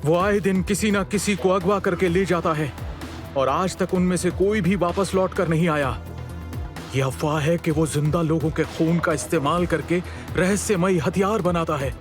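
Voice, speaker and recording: A man with a high-pitched voice delivers scripted story narration. Faint music runs behind him. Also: normal speed.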